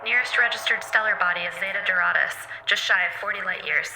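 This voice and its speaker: Bright, precise ship computer. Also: female voice, mid-range voice, creaky, soft background music, poor audio. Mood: efficient, helpful. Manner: precise, brisk. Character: eager, obedient female shipboard artificial intelligence. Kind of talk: audio drama acting.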